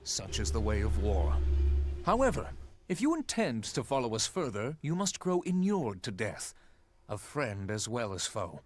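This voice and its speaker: A man's voice: lowish voice, very animated, normal speed. A man playing a haughty, lordly warrior in a video game, with a pompous, flowery and grandiose way of speaking. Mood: pompous, grave.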